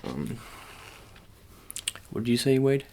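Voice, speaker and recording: A man's voice: medium pitch, sing-song, slow pace, darkish tone, slight background noise. A man doing an informal ASMR roleplay as an agent, improvising hesitantly with playful slang in a one-sided personal chat.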